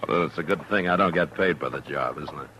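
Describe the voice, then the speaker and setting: An actor playing a steady, stern American frontier marshal in a radio western, speaking in a measured, firm, understated way. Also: male voice, rumbling low. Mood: easygoing, wry. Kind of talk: 1950s radio western acting. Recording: lightly noisy old radio recording.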